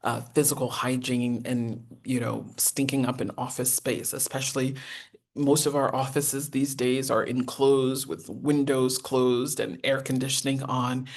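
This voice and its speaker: A woman, teaching a professional etiquette masterclass in an earnest, encouraging way with deliberate enunciation. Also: little pitch movement, a little mellow. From United States. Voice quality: deep voice. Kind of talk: masterclass lecture.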